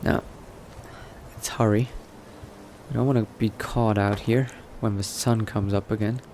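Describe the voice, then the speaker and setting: A man's voice: lowish voice, fairly even intonation, measured pace, a little mellow. A man voicing a cautious, coldly pragmatic new vampire in a roleplay, muttering to himself and often dropping into a whisper. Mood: wary, furtive. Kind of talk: in-character roleplay narration, thinking aloud. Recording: noticeable background noise.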